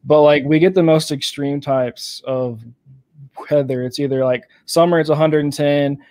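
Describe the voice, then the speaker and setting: A man from Texas co-hosting a casual game podcast, talking through AirPods in a relaxed way full of "like". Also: fairly high pitch, normal speed, no room sound. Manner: relaxed, filler-heavy with lots of "like". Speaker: podcast co-host. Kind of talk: casual chat.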